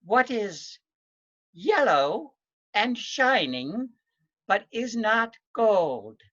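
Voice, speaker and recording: Storytelling witch played by a man: female figure, stern, deliberate, riddling; slightly lo-fi recording. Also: high voice, big pitch swings, a bit bright, voice pushed up, full character voice. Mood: testing. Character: riddling village witch, a stern testing old woman.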